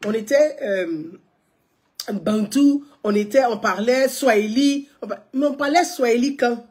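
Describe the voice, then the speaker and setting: A woman's voice: a little high, measured pace, darkish tone, slightly rough. A woman, giving live political commentary to viewers, emphatic, repeating her points and asking rhetorical questions.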